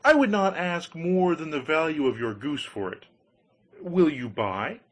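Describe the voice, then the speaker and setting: A man's voice: a little high, expressive, a little slow, voice pushed up. A man voicing a sly, jovial scissor grinder in a storybook tale, hearty and persuasive. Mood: coaxing. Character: sly, jovial male scissor grinder, smooth-talking tradesman. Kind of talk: storybook character voice.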